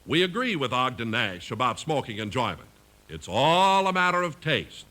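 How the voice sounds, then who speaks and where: A man's voice: mid-range voice, very animated, normal speed, pushed and bright, low-quality recording. A man announcing and reading ads in an emphatic, polished 1950s radio style. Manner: emphatic, polished sales delivery. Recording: old 1950s radio recording, faint music.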